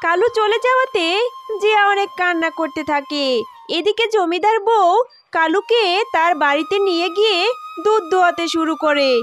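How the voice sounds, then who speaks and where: A woman's voice: extremely high pitch, normal speed, a bit bright, faint music behind. A woman narrating a scripted children's cartoon story as its storyteller.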